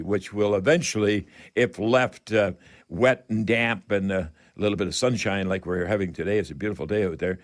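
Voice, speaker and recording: A man hosting a radio call-in show, giving home improvement advice in a halting, folksy, rambling way. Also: on the low side. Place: radio studio.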